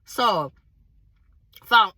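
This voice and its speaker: Raspy woman giving an earnest testimony. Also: extremely slow, bright, forceful tone, no room sound, studio quality. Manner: earnest, emphatic, talks straight to viewers. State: recovering from illness, slightly hoarse. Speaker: vlogger sharing a faith testimony. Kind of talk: casual testimony storytelling.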